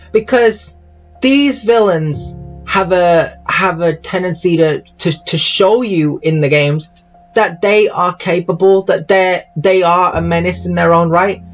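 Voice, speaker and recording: A man with a somewhat higher-than-average voice talks casually through his opinions on games. His delivery is halting and rambling, doubling back on phrases. The sound is slightly dull and lo-fi, with music playing underneath. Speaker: YouTuber reviewing games. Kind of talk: casual rambling audio-log commentary.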